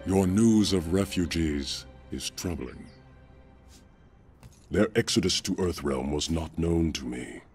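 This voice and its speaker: A man playing an imperious, suspicious warrior emperor in a video game, husky, stately and formal. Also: low voice, lively intonation, slow, a little mellow, faint music behind. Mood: stern suspicion. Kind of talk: dramatic video game cutscene acting.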